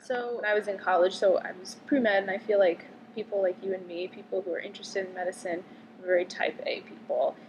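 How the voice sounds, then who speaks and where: A young woman in her twenties from Connecticut answers interview questions in an easy, chatty way, and she leans on "um" and "like" as she goes. Her voice sits at a middle pitch. Clear background noise runs under the recording. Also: fairly even intonation, fairly quick, low-quality recording. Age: 20s. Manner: chatty, frequent "um" and "like" fillers. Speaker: interviewee, medical student.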